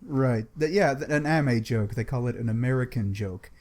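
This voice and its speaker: A man's voice: mid-range voice, normal speed, a little mellow. A man in a casual group discussion, retelling a story conversationally as a panelist. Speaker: book club panelist.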